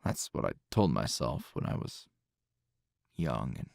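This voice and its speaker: A man playing a tender, teasing hero boyfriend in an intimate ASMR roleplay, soft-spoken with a husky, breathy voice and unhurried pauses. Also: rumbling low, sing-song, dry recording, clean studio sound, full character voice. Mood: wry, reminiscing. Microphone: close, dry microphone.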